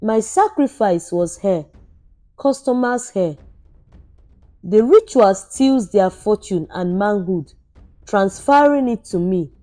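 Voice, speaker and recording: A desperate young male barber in an audio folktale, voiced by a woman storyteller. The delivery is soft-toned and unhurried, full of anguish and remorse. Music plays behind the pleading. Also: medium pitch, big pitch swings. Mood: confessing.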